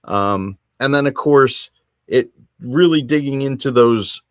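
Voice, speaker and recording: A man's voice: mid-range voice, slow, dry recording, clean studio sound. A man hosting a hobby show solo, talking in a casual, conversational way and rambling a little as he thinks aloud. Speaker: host of a tabletop wargaming hobby show.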